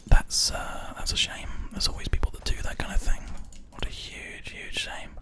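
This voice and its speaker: A man speaks in a breathy whisper, very close to a binaural microphone. He gives soft, soothing commentary on his gameplay at an unhurried flow, as an ASMR host does. Keyboard and mouse clicks sound behind him. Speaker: host, gamer.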